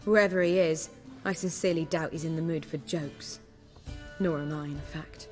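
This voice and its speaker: A woman's voice: sits low, steady tone, moderate pace, lightly acted. A woman playing a clever, poised female bard in a video game, articulate and arch with theatrical phrasing. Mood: concerned, earnest. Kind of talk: video game cutscene acting. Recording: music under the dialogue.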